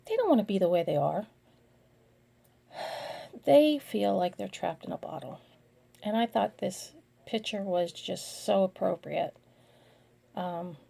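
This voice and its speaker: A middle-aged woman giving a slide presentation in an earnest, halting way, speaking in short phrases. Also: on the low side, vocal fry, warm tone.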